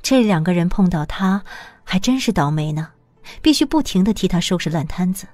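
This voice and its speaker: A woman's voice: on the low side, lively intonation, a little fast, darkish tone, no echo, faint music behind. Woman narrating a drama, dry sound. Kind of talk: scripted story narration.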